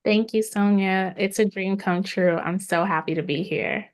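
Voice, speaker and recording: A woman's voice: medium pitch, steady tone, normal speed. A woman whose first language is Haitian Creole, answering interview questions as a guest author in an earnest, fluent way over a video call.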